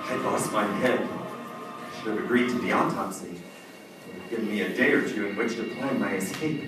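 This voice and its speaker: An actor playing a guilt-ridden, panicky judge turned murderer in old-time radio suspense, melodramatic and formal in phrasing. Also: male voice, on the low side, fairly quick, over loud music, natural voice. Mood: haunted narrating. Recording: echoey hall from far off, faint noise.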